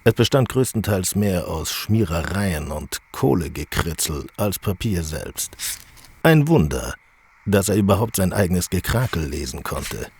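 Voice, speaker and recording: A man's voice: deep voice, very animated, a little slow, darkish tone, breathy edge, full character voice. A man playing a sarcastic, grumbling audio play narrator who mocks the story theatrically and breaks character with snide asides. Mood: theatrical, wry. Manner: theatrical, mocking.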